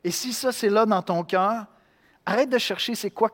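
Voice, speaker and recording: A man from Quebec with a Québécois accent, preaching a sermon in an animated way, acting out voices and swinging between loud and hushed. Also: high voice, moderate pace, long reverb tail. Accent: Québécois French. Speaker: pastor.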